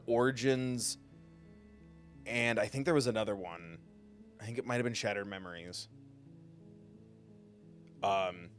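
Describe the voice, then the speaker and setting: A man streaming a game live, talking in a jokey, playful, rambling way with a mid-pitched voice. Faint game music plays under his commentary.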